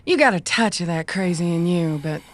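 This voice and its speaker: A woman playing a calm, earnest cyberpunk runner in an audio drama, soothing and measured. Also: fairly low pitch, close to an everyday voice. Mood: wry, gentle.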